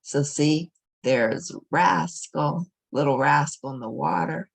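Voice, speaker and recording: A woman's voice: low-pitched, slow pace, gravelly, breathy edge. A woman reading a children's novel aloud as a storybook narrator. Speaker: storybook reader.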